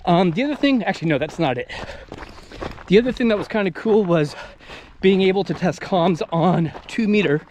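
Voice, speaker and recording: A man's voice: sits high, animated, moderate pace, darkish tone. A man in his thirties talking casually to viewers as the host of an outdoor video channel, out in the open. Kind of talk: casual freestyle vlog talk to viewers. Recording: noisy outdoor field recording.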